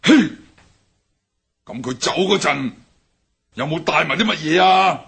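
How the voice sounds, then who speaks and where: An actor playing a stern older triad boss in a radio drama, speaking with a Hong Kong Cantonese accent and a raspy, commanding manner. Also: male voice, on the high side, measured pace, fairly bright tone, exaggerated voice. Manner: commanding, barks orders. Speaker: gang leader.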